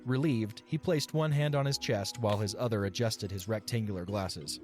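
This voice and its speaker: Low-voiced male story narrator. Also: normal speed, a little mellow, faint music behind.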